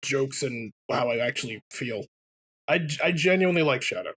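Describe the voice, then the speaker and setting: A man's voice: mid-range voice, animated, moderate pace, fairly bright tone, husky. A man co-hosting a let's play, chatting casually and jokily about games. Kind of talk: casual gaming chat.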